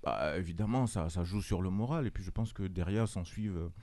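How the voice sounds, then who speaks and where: A man's voice: deep voice, fairly quick, warm tone, dry recording. A man on a talk panel, chatting loosely and repeating words as he searches for them. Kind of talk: casual chat.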